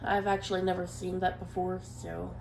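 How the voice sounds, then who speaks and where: Nasal stuffy-voiced woman with a gravelly edge. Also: on the low side, moderate pace, a little hiss or hum. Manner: relaxed, hesitant with many ums. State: nasal from a head cold. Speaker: gameplay commentator. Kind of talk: casual let's play commentary. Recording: echoey room with faint ambience.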